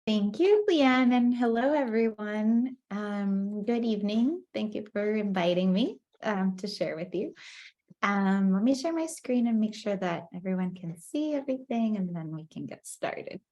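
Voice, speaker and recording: A woman's voice: medium pitch, animated, measured pace, warm tone, highs cut off. Woman lecturing on a video call. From Costa Rica. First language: Spanish. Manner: friendly, many ums and restarts. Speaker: guest speaker, researcher. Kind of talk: casual online lecture. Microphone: laptop mic on video call.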